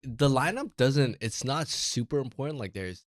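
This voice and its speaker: A man's voice: mid-range voice, animated, moderate pace, dry recording, studio quality. A man guesting on a podcast, chatting in a laid-back, loose way. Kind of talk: casual chat.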